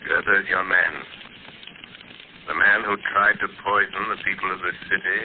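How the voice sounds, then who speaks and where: An actor playing a mysterious male crime fighter who speaks in a cool, measured, quietly taunting way, in a 1930s radio drama acting style. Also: deep voice, level tone, lightly acted. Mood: calm. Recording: tinny, hissy old radio recording.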